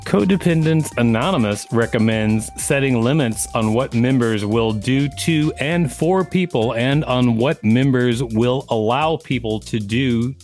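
A man's voice: mid-range voice, a little slow, hardly any pauses, darkish tone, faint music behind. A man co-hosting a podcast, reading reference text aloud with casual remarks. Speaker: podcast co-host. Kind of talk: reading an encyclopedia page aloud with casual commentary.

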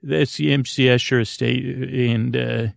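A man in his forties speaks in a medium-pitched voice full of vocal fry, recorded dry with no room sound. He hosts a sleep podcast as a meandering bedtime monologue. He stammers, restarts and drifts away from his own sentences. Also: steady tone, dry recording. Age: 40s. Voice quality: creaky. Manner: halting, stammering, digressive, trailing off mid-thought.

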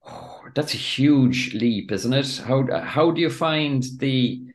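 Easygoing podcast host. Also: male voice, medium pitch, takes it slowly. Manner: easygoing questions with hesitations. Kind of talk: casual interview.

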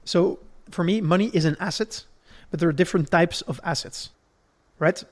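Higher-pitched man explaining things step by step. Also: normal speed. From Belgium. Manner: didactic, step-by-step, checks in with "right?". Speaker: podcast host explaining investing. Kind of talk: explanatory conversational podcast talk.

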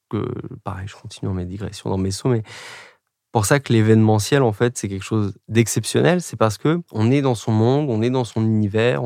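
Man, thirties, from France, low voice, reflective, digressive, dry close mic. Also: darkish tone, dry recording. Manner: reflective, digressive, many fillers and restarts. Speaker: podcast guest, agency director. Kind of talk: conversational interview.

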